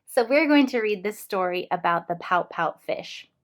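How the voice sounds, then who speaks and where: A woman reading a storybook aloud to young children in an expressive, explanatory way. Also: mid-range voice, moderate pace. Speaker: read-aloud host for young English learners.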